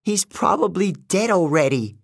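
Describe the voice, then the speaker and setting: Passionate, distraught young heroine for a fantasy storybook, raised pitch, swooping delivery, played by a male reader. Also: very animated, slow pace, sharp tone, no room sound, clean studio sound, heavily acted. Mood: tearful. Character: distraught, passionate teenage demigod girl warrior.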